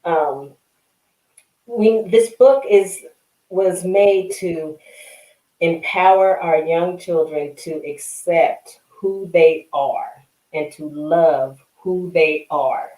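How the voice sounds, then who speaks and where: A woman who wrote a children's book, answering as a guest on a radio talk show in an earnest, measured way. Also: lowish voice, mellow, roomy sound, rough recording. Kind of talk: radio interview.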